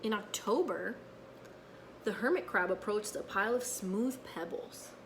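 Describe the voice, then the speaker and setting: A woman reading a children's story aloud in an expressive, teacherly way, with asides to her listeners. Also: medium pitch, unhurried, slight background noise.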